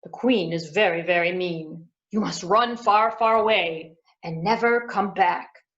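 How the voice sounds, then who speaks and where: A woman's voice: fairly low pitch, unhurried, a bit bright. A woman voicing a kind, reluctant royal soldier in an earnest, obliging tone, on a dull lo-fi recording.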